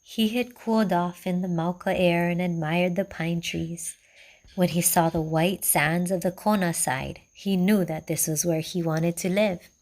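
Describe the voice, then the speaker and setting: Slow-reading woman storyteller. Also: fairly low pitch. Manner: slow, gentle, even reading. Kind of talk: storybook read-aloud.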